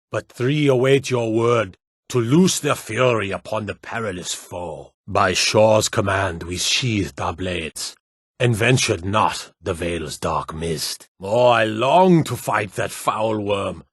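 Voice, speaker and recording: A proud fantasy-game warrior of the north, speaks in a low, slightly rough voice. He declaims archaic heroic lines with solemn weight and a hunger for battle. The recording is dry and close. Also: fairly bright tone, no room sound, full character voice. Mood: battle-hungry. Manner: grandiose, declamatory delivery. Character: bold Nordic warrior, proud, hearty, solemn and battle-hungry.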